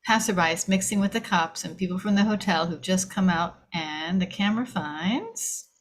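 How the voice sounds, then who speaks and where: A woman's voice: lowish voice, normal speed. A woman reading scene headings and action aloud as narration at a screenplay table read.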